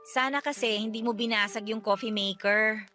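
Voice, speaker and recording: Hurt, clinging wife for radio drama; high, tense voice, pleading and emotional. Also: female voice, steady tone, normal speed, pushed and bright, soft background music. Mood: hurt, defiant. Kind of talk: radio drama dialogue in Tagalog.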